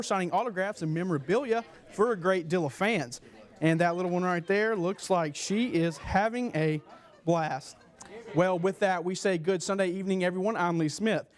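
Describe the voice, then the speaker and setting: A young man reading a scripted sports newscast as a TV anchor. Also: high voice. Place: small TV news studio. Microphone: lapel mic.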